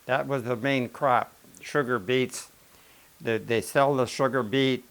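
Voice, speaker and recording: A man's voice: mid-range voice, fairly even intonation, slow, fairly bright tone. An older man from the rural American Midwest, telling plain-spoken, rambling stories about his family and farm life. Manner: plain-spoken, folksy, rambling with self-corrections. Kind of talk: casual family storytelling.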